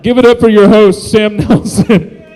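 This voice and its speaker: A man's voice: high-pitched, steady tone, unhurried, dark tone, low-quality recording. A man doing a loose, rambling stand-up set on a club stage, laughing at his own jokes. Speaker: stand-up comedian and guest host.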